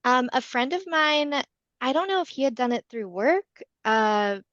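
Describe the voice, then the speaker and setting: A woman's voice: high-pitched, animated, moderate pace, a bit bright, dry recording. A woman guest on a video call, chatty and friendly with a few fillers. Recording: dull, dry video call audio.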